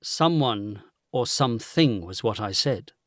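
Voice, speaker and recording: An actor playing a courteous, earnest gentleman investigator in a gothic audio drama, with a genteel old-fashioned manner. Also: lowish voice, animated, unhurried, no room sound, studio quality. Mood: earnest, puzzled.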